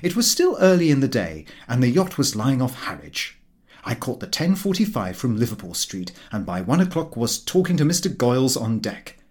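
Casting: male audiobook narrator, very animated intonation, dry comic storytelling, mid-range pitch. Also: normal speed. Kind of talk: scripted narration of a comic novel.

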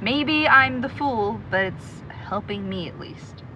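A woman's voice: fairly high pitch, slow pace, sharp tone, poor audio. A woman playing an optimistic young searcher in an audio drama, upbeat and reassuring in a naturalistic style. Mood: upbeat, persuasive. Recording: clear background noise.

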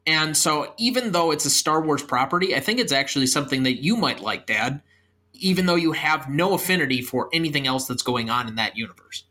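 Film podcast host: young American man, crisp forward brightness, higher-than-average pitch. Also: animated, fairly quick. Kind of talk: film podcast discussion and sign-off.

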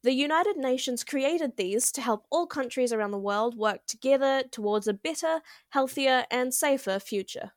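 A woman's voice: high-pitched, lively intonation, moderate pace, few pauses, dry recording, studio quality. A young woman hosting a student podcast, chatting casually with lots of "um" and "like". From New Zealand. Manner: friendly, chatty, filler-heavy. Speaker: podcast host, interviewer.

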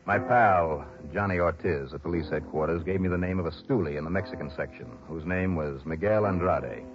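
A dull vintage radio broadcast with faint music carries a wry, brisk insurance investigator played by a man. His voice sits low. He delivers his account in punchy, hardboiled style, clipped and dramatic. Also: natural voice. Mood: dry, matter-of-fact. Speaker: lead actor and narrator of a radio detective series. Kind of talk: 1960s radio drama acting, hardboiled narration.

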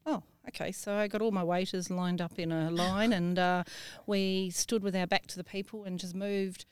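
Smooth-voiced woman telling anecdotes. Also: lowish voice, fairly even intonation, normal speed, darkish tone, no echo. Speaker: interview guest, event venue professional. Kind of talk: casual conversational anecdote telling.

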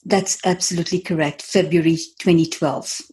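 Husky-voiced woman from Tanzania, measured. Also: lowish voice, steady tone, dark tone. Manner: thoughtful, measured, frequent ums and uhs. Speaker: guest, author. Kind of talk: interview conversation.

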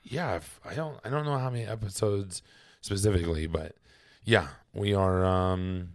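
A man co-hosting a podcast in casual chat, his voice raspy and hoarse from laryngitis, talking haltingly with many ums and trailing off quietly. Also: low-pitched, no echo, studio quality. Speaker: podcast co-host. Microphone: podcast microphone.

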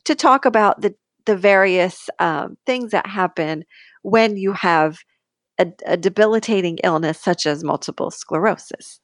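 A woman's voice: fairly low pitch, unhurried, no echo, studio quality. A woman co-hosting a casual podcast, talking in a hesitant, searching way. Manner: hesitant, full of ums and restarts. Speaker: podcast co-host.

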